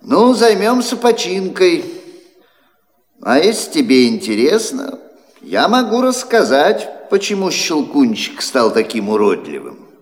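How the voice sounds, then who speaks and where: A man's voice: a little high, wildly swooping pitch, a little slow. An actor playing a kindly elderly godfather clockmaker, telling a fairy tale in a theatrical, teasing way for an audio play. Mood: animated storytelling. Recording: long hall reverb.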